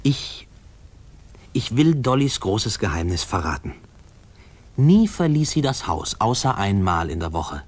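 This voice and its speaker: An actor playing a man who fondly remembers his boyhood, narrating a 1950s radio play in a husky, confiding, measured way. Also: fairly low pitch, sing-song, heavily acted. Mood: confiding, reminiscent.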